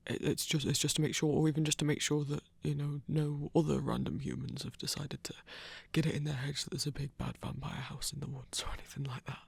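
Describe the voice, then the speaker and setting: A performer playing a flustered, protective hunter who stammers haltingly in a breathy, intimate ASMR roleplay. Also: mid-range voice, little pitch movement, no room sound. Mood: nervous reassurance. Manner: halting, stammering, awkward, sighing.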